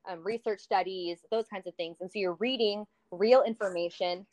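A woman in her twenties, a high school English teacher, chatting casually and acting out quotes as she tells stories. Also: normal speed, rough recording. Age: 20s. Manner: chatty, animated storytelling with acted-out quotes. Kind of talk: casual conversation.

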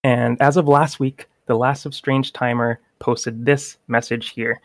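A man's voice: mid-range voice, little pitch movement, a little slow, no echo, studio quality. A man co-hosting a gaming podcast, chatting casually and reading news aloud with small stumbles.

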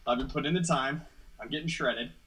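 A man's voice: mid-range voice, fast talker, fairly bright tone, husky, low-quality recording. A man co-hosting a podcast in casual joking chat, goofy and self-mocking with crude humor. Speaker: podcast co-host.

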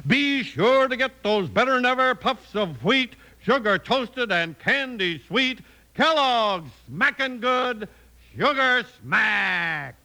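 Casting: male vintage radio announcer, bright forceful tone, swooping delivery, hearty salesman enthusiasm. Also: extremely high pitch, very animated, slow, a little hiss or hum. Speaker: announcer and narrator.

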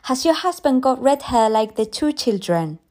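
Chatty young teacher played by a woman, high and bright. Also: big pitch swings, moderate pace.

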